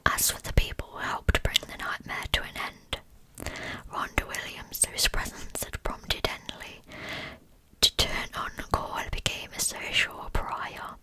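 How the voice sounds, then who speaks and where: A woman's voice: a little slow, sharp tone, low-quality recording. Woman whispering a true-crime ASMR narration. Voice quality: breathy pure whisper.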